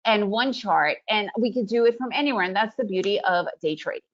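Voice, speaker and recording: Casting: woman of Russian origin, upbeat webinar presenter, mid-pitched voice, slightly dull lo-fi audio. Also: a little fast. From Soviet Union, raised in the US. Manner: upbeat, chatty, leans on "right?" tag questions.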